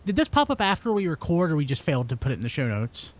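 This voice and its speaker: A man's voice: on the high side, sing-song, fast. A man hosting a podcast in a casual, conversational way. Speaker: podcast host.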